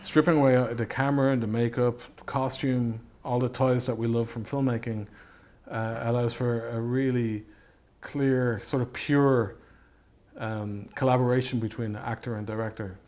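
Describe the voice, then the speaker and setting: A man speaking reflectively in an interview as a writer and director, halting and thoughtful, often repeating phrases as he goes. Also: mid-range voice, fairly even intonation, a little mellow.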